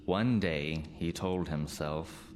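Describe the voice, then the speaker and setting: A man's voice: a bass voice, slow, a little mellow, a little hiss or hum. A young man giving scripted storybook narration for a radio play. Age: young adult.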